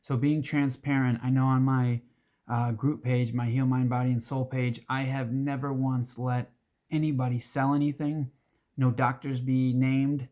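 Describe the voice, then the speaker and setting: A hoarse man speaking earnestly to his group as its organizer, with frequent restarts and throat-clearing. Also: mid-range voice, little pitch movement, measured pace, mellow. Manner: earnest, rambling with restarts and fillers. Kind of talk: casual direct-to-camera talk.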